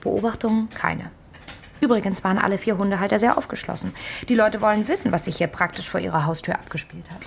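A wry, quick-witted female amateur sleuth performed by an actress with a mid-pitched, creaky voice. She reports matter-of-factly in a radio crime play, with faint background ambience. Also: close to an everyday voice. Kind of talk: German radio crime drama acting. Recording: faint noisy ambience behind.

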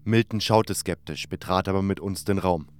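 A man's voice: deep voice, moderate pace, smooth. A man reading scripted first-person narration for a fantasy audio drama. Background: background music.

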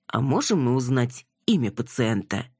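Woman as a male detective, composed, inquisitive, low husky voice, audiobook dialogue. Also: big pitch swings, moderate pace, a little mellow, no echo, clean studio sound, full character voice. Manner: matter-of-fact questioning.

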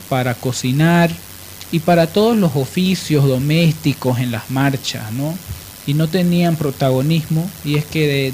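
Male radio host, Bolivian accent, fairly high voice, emphatic explainer, some background noise. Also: darkish tone. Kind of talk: radio discussion and biographical narration.

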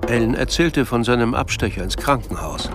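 A man's voice: medium pitch, animated, normal speed, over music, lightly acted. A man narrating a melancholy literary story in an audio drama. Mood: measured narration. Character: male narrator of a melancholy novel.

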